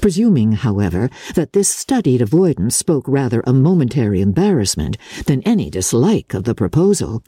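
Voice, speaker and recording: A woman narrating classic fiction in a theatrical, measured and deliberate literary style, with a husky voice. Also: extremely low pitch, big pitch swings, dark tone, no echo, clean studio sound. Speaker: audiobook narrator.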